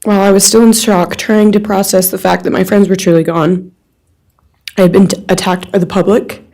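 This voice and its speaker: A woman's voice: mid-range voice, little pitch movement, a little fast, warm tone. A young woman reading a written personal statement aloud in a courtroom on someone else's behalf. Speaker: friend reading a victim's statement on their behalf.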